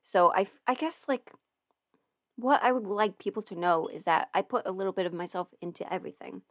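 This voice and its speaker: A woman's voice: medium pitch, a little fast, a bit bright, no room sound, clean studio sound. A woman casually rambling as a livestream host, hesitant, interrupting herself and thinking aloud as she goes. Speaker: livestream host, audio roleplay creator.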